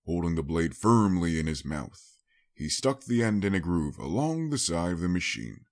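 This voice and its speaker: Guy narrating an audiobook, really low voice, lots of movement in it, dramatic story reading. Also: very animated, moderate pace, darkish tone.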